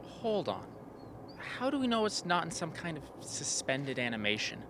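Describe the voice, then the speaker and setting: Man acting brash, animated polar crewman, noisy recording. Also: a little high, normal speed. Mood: sarcastic. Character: brash, sarcastic crewman at Antarctic base. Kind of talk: radio drama acting. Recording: clear background noise.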